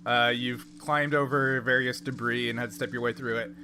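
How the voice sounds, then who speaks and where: A man's voice: mid-range voice, steady tone, moderate pace, pushed and bright, slight background noise. A man running a tabletop role-playing game, narrating and explaining rules off the cuff. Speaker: game master.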